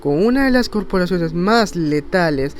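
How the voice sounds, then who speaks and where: A man's voice: high voice, animated, moderate pace, slight background noise. A man narrating a video essay with personal opinions, in an emphatic, rhetorical way with dramatic stress on key words. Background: faint music.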